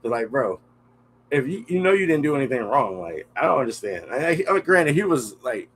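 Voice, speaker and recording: A man's voice: medium pitch, sing-song, moderate pace, a bit bright, slight background noise. A man co-hosting a podcast, chatting casually with blunt, slangy reactions. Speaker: podcast co-host. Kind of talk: casual chat.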